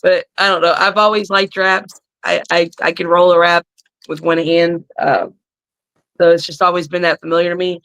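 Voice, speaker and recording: A middle-aged woman with a raspy voice, a wrestler guest chatting bluntly and crudely on a video call from her living room. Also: lowish voice, flat delivery, moderate pace, a bit bright, low-quality recording. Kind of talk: casual podcast chat.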